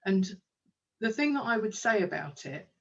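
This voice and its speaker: A woman's voice: mid-range voice, very animated, moderate pace, creaky, distant mic, dull audio. A woman, a kinesiologist and business owner, talking enthusiastically in a casual interview with plenty of ums. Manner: enthusiastic, chatty, with frequent ums.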